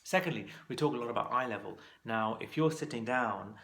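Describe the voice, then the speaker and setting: A man's voice: medium pitch, lively intonation, a little fast, fairly bright tone. A man teaching as a clinical exam course tutor, giving practical coaching tips in a conversational instructional talk to camera.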